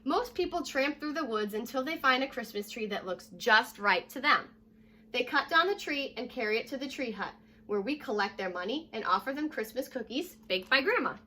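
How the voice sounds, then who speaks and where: A young American woman reading a children's story aloud like a teacher, addressing young listeners directly in a lively way. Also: a little high, normal speed. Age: young adult. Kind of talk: children's read-aloud with short asides. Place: living room at home, indoors.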